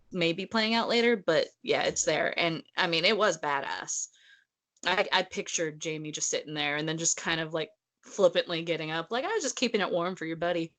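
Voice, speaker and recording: A woman's voice: sits low, fairly bright tone. A woman co-hosting a casual book discussion, talking in a blunt, emphatic, sarcastic way. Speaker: podcast co-host discussing a novel.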